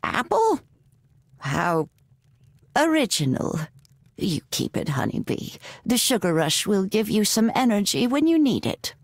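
Slow, feisty old witch grandmother. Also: medium pitch, big pitch swings, no room sound, studio quality. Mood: wry. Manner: unhurried, folksy, wry. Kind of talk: video game voice acting.

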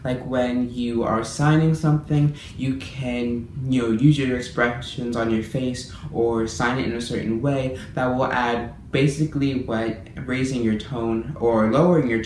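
A young man vlogging to the camera about languages he loves, in an earnest, eager way, slowing down to explain things. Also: medium pitch, darkish tone. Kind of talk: casual to-camera vlog. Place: bedroom, indoors. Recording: echoey room, faint room hiss.

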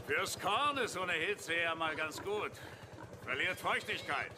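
A nosy, coarse country farmer in a German radio play. His voice sits a little high, and he rambles on in a folksy, blunt way. A vehicle rumbles noisily behind him. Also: animated, measured pace. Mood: jovial, chatty. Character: chatty, coarse, nosy provincial farmer driving a tractor. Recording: clear vehicle noise behind.

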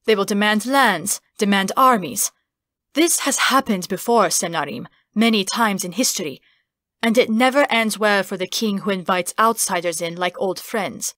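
A woman's voice: mid-range voice, normal speed, fairly bright tone, dry recording, clean studio sound, close to an everyday voice. A woman voicing a stern, distrustful statesman in a fantasy audiobook, speaking in grave warning. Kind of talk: fantasy audiobook character acting.

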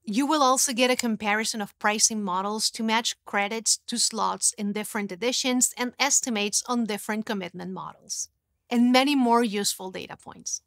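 A woman in her thirties presenting a scripted technical explainer in a studio, in a clear, even, instructive way. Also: fairly high pitch, normal speed, no echo. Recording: dry studio sound.

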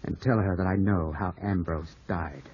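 A man acting a grieving, suspicious young English gentleman in a 1950s radio drama, clipped and questioning. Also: low-pitched, fairly even intonation, moderate pace, close to an everyday voice. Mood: accusing, bitter. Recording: muffled old radio broadcast.

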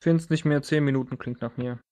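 A man's voice: mid-range voice, fairly even intonation, a little fast, mellow. A man talking casually and colloquially as a tabletop game master.

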